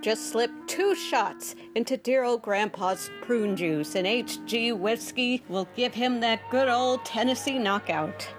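A woman's voice: on the high side, close to an everyday voice. A woman playing a cheery, coaxing female announcer in a parody of a 1930s radio commercial, with music behind her. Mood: sweetly selling. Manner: coaxing, deliberate salesmanship. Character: sugary, cheery female radio advertisement pitchwoman.

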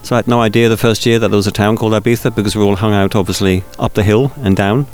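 A man's voice: fairly low pitch, steady tone, racing. A Swiss man being interviewed as a guest, chatty and anecdotal, telling stories with many asides. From Switzerland. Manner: chatty, anecdotal, with fillers and restarts. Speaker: radio festival organizer, guest. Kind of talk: interview conversation.

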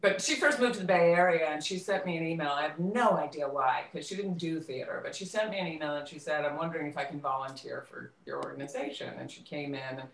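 Woman, interview guest, casual conversational delivery, halting word searches, strong room echo, distant mic. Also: deep voice, vocal fry. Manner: animated storytelling, quoting dialogue, halting when searching for words. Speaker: interview guest, theater company co-director. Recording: roomy, echoey, distant microphone.

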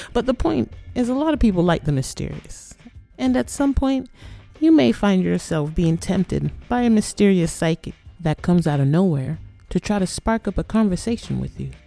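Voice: mid-range voice, vocal fry, mellow. A podcast host narrating a scripted educational piece in a playful, conversational way.

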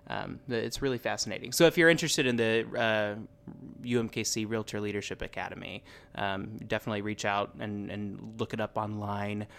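A man co-hosting a podcast, talking casually and haltingly with many fillers. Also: fairly low pitch, steady tone, vocal fry, fairly bright tone. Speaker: podcast co-host. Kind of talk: casual intro chat.

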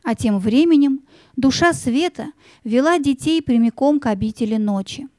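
A woman narrating a fairy-tale audio play in an expressive, theatrical storytelling manner. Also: high voice, normal speed, no room sound, studio quality.

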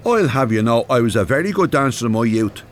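Older Irish male, acted, radio drama: wry, roguish, very animated delivery, slight background ambience. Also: medium pitch, fast, a little hiss or hum, lightly acted. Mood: teasing, boastful. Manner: wry, bantering. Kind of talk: naturalistic radio drama acting.

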